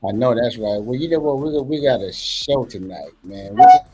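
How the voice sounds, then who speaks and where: A man hosting a casual interview show. He is emphatic and energetic, repeats phrases and jumps in with lively interjections. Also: mid-range voice, brisk, husky, soft background music. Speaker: show host.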